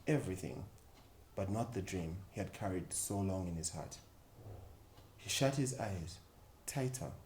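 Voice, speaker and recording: A man reading a children's story expressively, with dramatic pauses and emphasis. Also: medium pitch, warm tone. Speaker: volunteer storyteller at a children's book club. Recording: echoey room with faint background noise.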